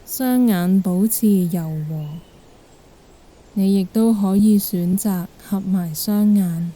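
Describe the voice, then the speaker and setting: A woman with a Hong Kong Cantonese accent guiding a meditation in a gentle, calm manner. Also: medium pitch. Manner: gentle, calm, unhurried instruction. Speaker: guided meditation instructor. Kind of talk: guided meditation narration.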